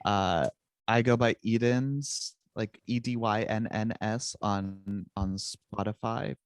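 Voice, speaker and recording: A man's voice: fairly low pitch, steady tone, takes it slowly, smooth, no room sound. A young man whose first language is Czech, chatting casually as a guest musician, rambling and joking at his own expense. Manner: rambling, self-mocking, laughs often. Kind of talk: casual interview chat.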